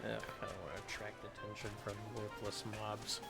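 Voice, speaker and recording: A man's voice: fairly low pitch, level tone, a little fast, creaky. A man giving casual livestream commentary on a game, muttering short remarks to himself. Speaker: livestreamer playing an online fantasy game. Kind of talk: casual gaming stream commentary. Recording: loud game music behind voice. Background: game music.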